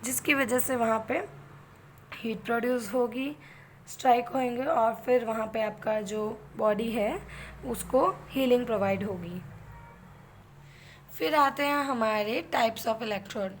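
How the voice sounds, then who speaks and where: A woman's voice: a little high, slow pace, a little hiss or hum, low-quality recording. A woman with an Indian accent giving an explanatory lecture as an instructor, mixing two languages and often checking in with the listener. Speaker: physiotherapy instructor.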